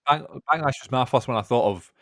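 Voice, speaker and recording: A man chats on a podcast in an enthusiastic, rambling way, full of ums and restarts. His pitch sits in a middling range. The recording is dry and close. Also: quick pace, no echo. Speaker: podcast co-host. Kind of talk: casual chat.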